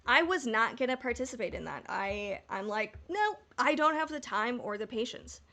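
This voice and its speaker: Higher-voiced, candid woman chatting. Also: big pitch swings, moderate pace, pushed and bright. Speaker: podcast host. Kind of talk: casual fan discussion.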